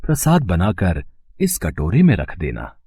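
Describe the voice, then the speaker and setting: Man acting a deep, husky holy old sadhu. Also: wildly swooping pitch, measured pace, mellow, dry recording, full character voice. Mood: solemn. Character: holy old sadhu, a god in disguise, giving instructions.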